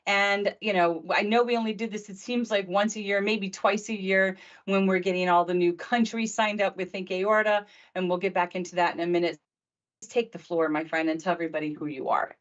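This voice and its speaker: Excitable woman hosting a video call. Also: medium pitch, a little fast, muffled recording. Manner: excitable, chatty, talks over guests. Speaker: host. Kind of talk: live video Q&A.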